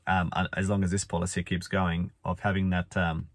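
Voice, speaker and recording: Male vlogger, 40s, deep and croaky with a cold, rambling, chatty, dry close sound. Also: little pitch movement, brisk, no echo. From Australia. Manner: chatty, rambling, frequent fillers and restarts. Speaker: finance vlogger. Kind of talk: casual talking-head vlog. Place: inside a parked car. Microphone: phone or camera at arm's length.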